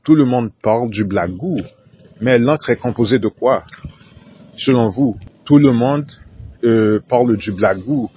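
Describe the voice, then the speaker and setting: A man's voice: mid-range voice, unhurried, dull audio, slight background noise. A man delivering a formal solo monologue, repeating whole sentences and spelling out words letter by letter. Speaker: conspiracy talk narrator.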